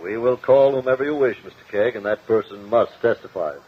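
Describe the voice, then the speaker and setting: An actor playing a stern, formal judge with an authoritative manner in a 1950 radio courtroom drama. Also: male voice, on the low side, brisk, breathy edge. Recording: tinny, hissy old radio recording.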